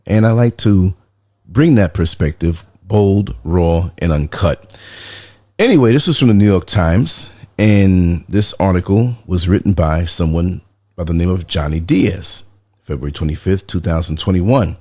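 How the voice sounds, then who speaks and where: A man with a very low, mellow voice hosts his commentary show. He still sounds sleepy, as if he has just woken up. He reads the news in a low-key way and drops dry, sarcastic remarks. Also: animated, measured pace, no room sound. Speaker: podcast host. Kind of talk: casual commentary reading a news article.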